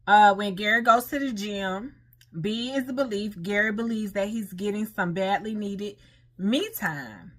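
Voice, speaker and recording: A woman's voice: mid-range voice, a little slow, a bit bright. An American woman hosting an informal talk, moving between reading aloud and conversational commentary full of questions to her listeners. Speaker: online host reading and commenting on a marriage lesson. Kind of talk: casual talk-through of read material with personal commentary.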